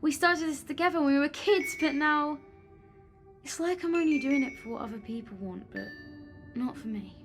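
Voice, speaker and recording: Woman playing high-voiced, earnest young vlogger. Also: moderate pace, over music, close to an everyday voice. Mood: vulnerable, confiding. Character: earnest, self-doubting young female vlogger. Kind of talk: audio drama acting.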